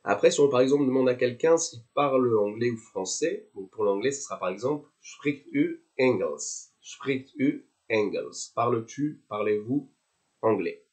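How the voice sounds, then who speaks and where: A young French man with a French accent, teaching basic phrases by saying each one slowly and repeating it. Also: mid-range voice, lively intonation, darkish tone. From France. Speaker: language tutor. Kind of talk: slow, patient language lesson.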